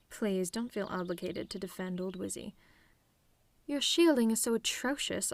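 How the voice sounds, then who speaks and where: A woman playing a telepathic villainess in an ASMR roleplay, sardonic and theatrical, menacing with a tender streak, in deliberate phrasing. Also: mid-range voice, lightly acted. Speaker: audio roleplay performer.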